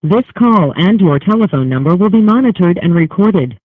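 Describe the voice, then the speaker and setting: A woman's voice: on the low side, expressive, moderate pace, dry recording. A woman's automated phone system voice reading scripted call prompts over a tinny, narrow line.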